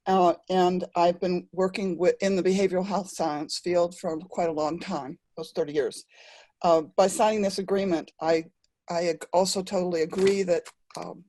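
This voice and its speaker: A middle-aged woman with a lowish, mellow voice restarts her sentences often. She speaks haltingly as a nurse giving a statement. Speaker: psychiatric nurse. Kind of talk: video statement.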